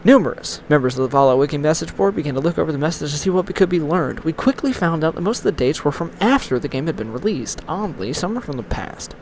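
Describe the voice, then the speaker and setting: Higher-voiced man narrating over noise. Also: lively intonation, quick pace, few pauses, low-quality recording. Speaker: narrator of online horror stories. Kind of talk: scripted creepypasta narration.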